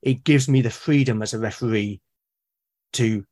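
A man's voice: medium pitch, moderate pace, dark tone. A British man being interviewed as a guest, who talks casually and hesitantly, often repeating words as he starts a sentence. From United Kingdom. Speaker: guest, artist and podcaster. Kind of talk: casual conversation.

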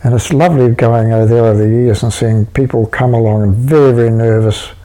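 A man's voice: medium pitch, fairly even intonation, brisk, dark tone. A man being interviewed about his writing, talking casually and rambling with many restarts and fillers. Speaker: interviewed writer.

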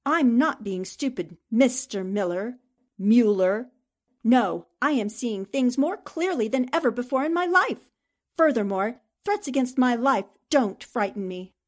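A woman playing a defiant, sharp-witted young newspaperwoman held captive, speaking firmly and dramatically in old-time radio drama style. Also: on the high side, expressive, measured pace.

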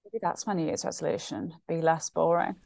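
A low-pitched woman from Australia chats as a podcast guest, rambling and self-deprecating. Her speech is British-sounding with faint Australian traces. The recording is dry and close. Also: fairly even intonation, fairly quick, vocal fry, darkish tone, no room sound. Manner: chatty, self-deprecating, trails off and restarts. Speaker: guest actor and comedian. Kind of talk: casual podcast chat.

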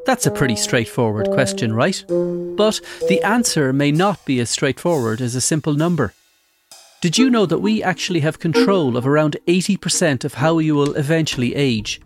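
An Irish man hosts a news podcast with a slightly high voice. He asks his questions in a calm, measured way, with faint music playing underneath. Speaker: podcast host.

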